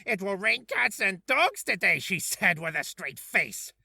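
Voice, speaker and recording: A man's voice: high voice, sing-song, unhurried, gravelly, pushed and bright, breathy edge, heavily acted. A man voicing a pompous, irritable doctor with an aggrieved, emphatic manner, for a character reel. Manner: emphatic outbursts, aggrieved complaining.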